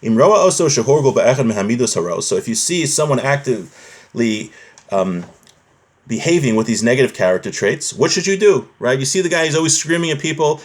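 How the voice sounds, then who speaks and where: A man's voice: medium pitch, lively intonation, fairly quick. Male religion teacher, mid-range voice. Informal text lesson, moves between Hebrew quotation and plain explanation, checking in with questions.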